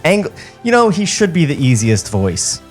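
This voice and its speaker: A man's voice: on the high side, huge pitch swings, normal speed, faint music behind. Man reading a script on a comedy podcast. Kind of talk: comedic table read with casual commentary.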